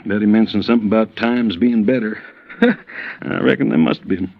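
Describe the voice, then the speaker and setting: A folksy insurance agent. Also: male voice, lowish voice, moderate pace, vocal fry, slight background noise. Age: 50s. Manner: chatty, folksy, drawling. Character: folksy stocky red-faced male insurance agent, late fifties. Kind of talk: 1950s radio drama acting. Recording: dull lo-fi old radio broadcast.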